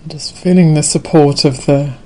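A woman leading a guided meditation as a Buddhist teacher, unhurried, leaving gentle pauses between her phrases. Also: deep voice, fairly even intonation, slight background noise.

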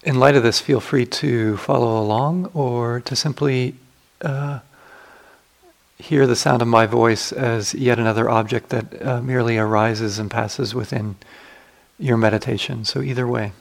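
A man leading a guided meditation as a teacher, giving gentle, unhurried instructions with long pauses. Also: medium pitch, fairly even intonation, vocal fry.

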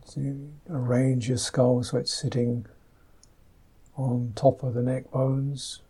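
A man teaching a guided meditation, speaking softly and gently in unhurried, halting phrases, with a slightly husky voice. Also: medium pitch, little pitch movement, rough recording.

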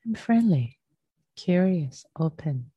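A woman teaching a guided meditation in a gentle, unhurried manner, leaving long pauses between short phrases. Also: on the low side, lively intonation, husky. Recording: muffled, roomy sound.

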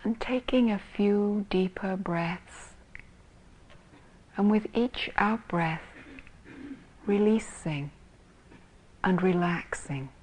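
A woman teaching meditation in a soft, breathy, husky voice, calmly and gently guiding with long pauses between phrases. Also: medium pitch, poor audio. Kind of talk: guided meditation.

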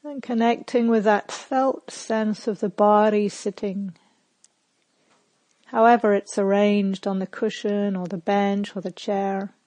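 A woman meditation teacher leading a guided meditation in a calm, gentle, measured manner with long pauses. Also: mid-range voice, dry recording, poor audio.

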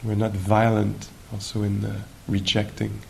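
Low, gravelly man guiding meditation. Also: monotone. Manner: unhurried, musing, gentle questioning with long pauses. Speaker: meditation teacher. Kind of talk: guided meditation at a retreat. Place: live at a meditation retreat. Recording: noisy live recording with low rumble.

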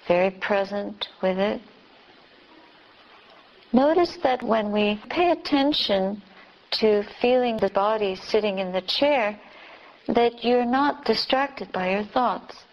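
A woman teacher leading a beginner's guided meditation, giving calm, gentle instructions with long pauses. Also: medium pitch, muffled recording. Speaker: Buddhist nun teaching meditation.

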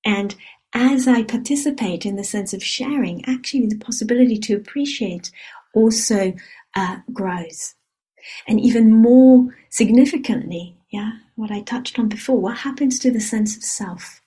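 Soft breathy woman leading meditation. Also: on the high side. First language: Hebrew. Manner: soft, unhurried, often adds a questioning "yeah?". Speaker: meditation teacher. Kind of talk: guided dharma reflection. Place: meditation retreat hall.

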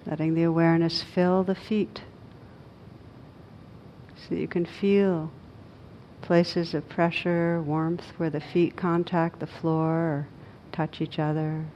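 A woman teaching a guided meditation, speaking gently in short, unhurried phrases with pauses between them. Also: deep voice, little pitch movement, highs cut off, a little hiss or hum.

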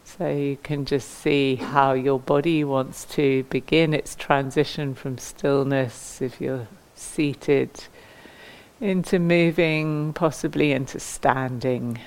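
Low-pitched woman guiding mindful movement. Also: fairly even intonation, rough recording. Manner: gentle, unhurried, inviting, with pauses. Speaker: mindful movement and meditation teacher. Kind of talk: guided mindful movement instruction.

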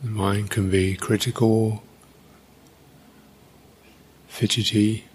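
Male meditation teacher, husky and fairly low voice, unscripted guidance with long, halting gaps. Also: flat delivery. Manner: unhurried, halting, with long pauses.

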